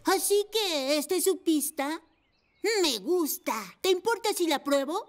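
Cocky kid motorbike, very high voice, breezy, self-assured, cartoon dub, faint music. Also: unhurried. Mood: friendly. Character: cool, confident young boy motorbike, friendly show-off. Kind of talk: animated children's cartoon dub.

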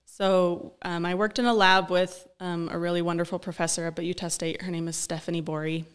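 A young woman with a smooth, clear voice that sits a little low for a woman, explaining things thoughtfully with frequent "um" pauses. She speaks close to a desk broadcast mic in a small studio office. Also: level tone. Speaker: speech-language pathologist guest. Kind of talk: conversational interview. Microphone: desk-mounted broadcast mic.

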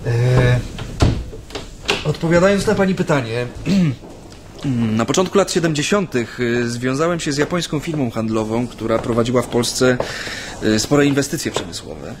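A man's voice: mid-range voice, animated, unhurried, noisy background, lightly acted. An actor playing a talkative, wry dissident recounting his past to an interviewer in a radio play, with hesitant, chatty delivery. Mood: wry reminiscing. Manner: hesitant, chatty, amused storytelling. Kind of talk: radio drama acting, recounting memories in an interview. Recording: studio radio play with faint music.

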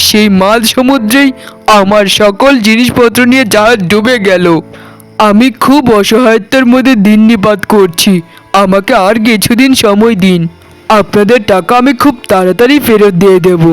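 A humbled older cartoon father, played by a man, once a merchant and now ruined. His voice is high and smooth, pleading and apologetic, with soft music behind. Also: normal speed, natural voice, poor audio. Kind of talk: cartoon dialogue acting.

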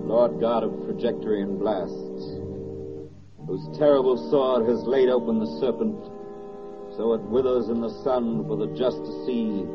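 An actor playing a solemn narrator at prayer in a 1940s radio drama, declaiming in reverent, measured phrases. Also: male voice, mid-range voice, fairly even intonation, over loud music, natural voice. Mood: hushed solemn. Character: solemn male narrator praying over a war's end. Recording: muffled vintage 1940s radio recording. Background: orchestral score.

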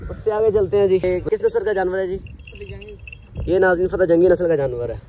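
A man's voice: sits high, a little fast, warm tone, a little hiss or hum. A man interviewing a cattle seller outdoors at a market, repeating the prices for his viewers. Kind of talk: on-location interview.

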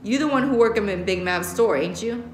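A woman's voice: medium pitch, animated, a little fast, a little hiss or hum, natural voice. Woman reading a cocky, slangy young gangster, echoey. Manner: slangy, offhand. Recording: echoey classroom.

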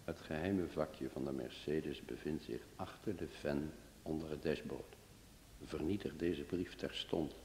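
An actor playing a grave letter writer in a radio play, speaking in a slow, hushed, deliberate way. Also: male voice, a bass voice. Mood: grave, secretive. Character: grave male letter writer giving secret instructions. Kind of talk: radio play acting, letter read in voice-over. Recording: echoing, reverberant, faintly hissy radio recording.

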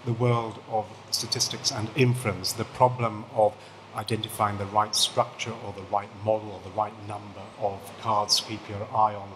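A middle-aged man with an English accent, a quiet, soft-spoken academic panelist who restarts his sentences often. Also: fairly low pitch. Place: seminar room with a panel table. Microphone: table microphone. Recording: echoey room with background noise.

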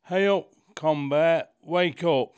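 A man's voice: on the high side, takes it slowly. A man voicing a teasing magic TV screen in a radio pantomime. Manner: teasing, cryptic. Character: mysterious magic TV screen, male.

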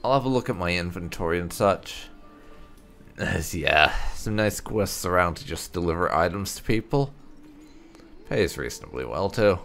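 A man's voice: low-pitched, expressive, normal speed, fairly bright tone. A man hosting a gaming channel, giving casual, rambling let's play commentary as he thinks aloud. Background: faint game music.

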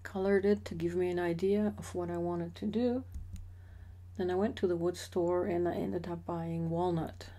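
A middle-aged woman narrating a slideshow about her woodworking hobby in a measured, explanatory way with occasional fillers. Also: lowish voice, flat delivery, warm tone. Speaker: associate professor sharing a hobby.

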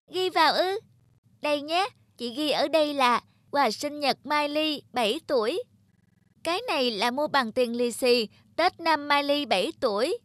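Very high, sweet cartoon little girl. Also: a bit bright, dry recording. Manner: childlike, affectionate. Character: sweet, eager seven-year-old twin girls. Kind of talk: cartoon acting.

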